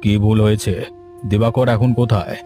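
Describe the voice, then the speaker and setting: A man playing a stern, sensible village gentleman in a horror cartoon, speaking in a measured, admonishing way. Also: fairly low pitch, flat delivery, close to an everyday voice. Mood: calm concern. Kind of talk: Bengali horror cartoon dubbing. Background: music.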